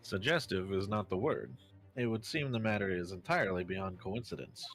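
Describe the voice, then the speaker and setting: A man voicing a formal, theatrical gentleman detective from a mystery game in an amateur dub, with elaborate phrasing. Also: low voice, moderate pace, faint music behind. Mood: intrigued, grandiose. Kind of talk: amateur fan dub of a visual novel game.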